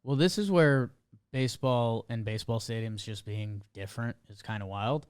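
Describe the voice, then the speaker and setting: A man's voice: mid-range voice, darkish tone, clean tone, no room sound, studio quality. A man co-hosting a podcast, chatting casually about sports in a laid-back way. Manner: laid-back, quick agreeing replies. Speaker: podcast co-host.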